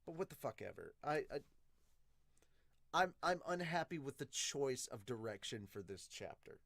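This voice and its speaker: A man hosts a casual review show in a mid-pitched voice. He talks bluntly and with animation, swearing freely as he reacts. The sound is dry, with very little room to it. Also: big pitch swings, normal speed, creaky, a bit bright, no room sound. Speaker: host of a manga review podcast.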